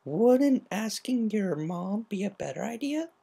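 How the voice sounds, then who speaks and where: A woman's voice: medium pitch, expressive, measured pace, gravelly, warm tone, slightly rough, voice pushed up, full character voice. A woman voicing a brash, cocky but caring female Pegasus with breezy, teasing, casual talk. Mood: awkward.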